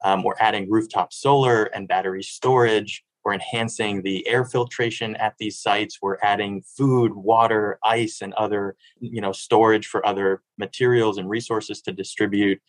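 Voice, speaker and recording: A man's voice: fairly low pitch, fairly even intonation, normal speed, few pauses, dry recording, low-quality recording. A man in his thirties from Miami, a city sustainability director answering interview questions in an earnest, fluent way with small restarts. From Miami, Florida.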